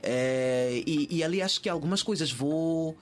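A man's voice: fairly high pitch, normal speed. A man from Mozambique with a Mozambican accent, answering conversationally as an interview guest, often repeating phrases as he thinks aloud.

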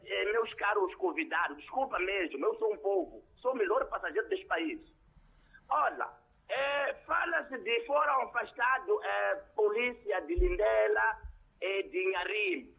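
A Mozambican man calling in to a radio show, complaining emphatically in halting, repetitive bursts. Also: high-pitched, gravelly, slightly rough. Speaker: radio caller. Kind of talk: impassioned call-in complaint. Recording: tinny phone line.

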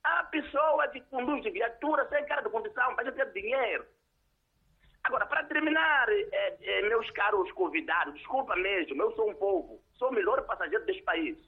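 A man's voice: high voice, expressive, moderate pace, gravelly, breathy edge. A man with a Mozambican Portuguese accent, a non-native speaker, calling in to a radio show and speaking hesitantly, then emphatically. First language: a local language, not Portuguese. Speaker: caller. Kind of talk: call-in radio comment. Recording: tinny phone line.

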